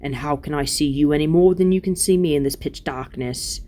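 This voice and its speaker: A woman voicing a surly, stubborn male dwarf in a storybook reading, grumbling gruffly and scornfully in colloquial speech. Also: sits low, brisk, mellow, close to an everyday voice.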